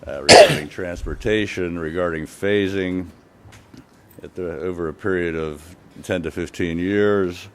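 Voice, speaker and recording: A man with a very deep voice works through a list of commitments for a planning board. He pauses and restarts now and then but keeps moving through the points. A faint hiss sits in the background. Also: steady tone, fairly bright tone. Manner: listing points, hesitant at first. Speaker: presenter for the applicant. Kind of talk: formal presentation to a board.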